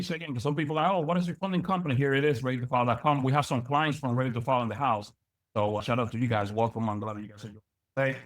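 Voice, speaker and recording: Business coach, male, young, from the Dominican Republic; quick, energetic lecture full of restarts. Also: mid-range voice, no room sound. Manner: energetic, repeats words, many fillers. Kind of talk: live online training lecture.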